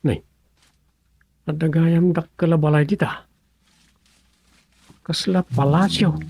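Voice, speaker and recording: Puzzled, gravelly young Filipino countryman. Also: on the high side, fairly even intonation, takes it slowly, a little mellow, no room sound, full character voice. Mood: wondering. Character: puzzled younger countryman exploring a strange place. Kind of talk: Filipino radio serial drama acting.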